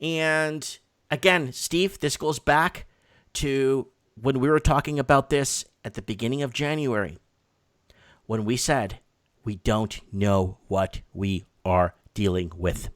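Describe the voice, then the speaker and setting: A man's voice: medium pitch, slow pace. A man hosting a live night show in a casual, blunt and emphatic way.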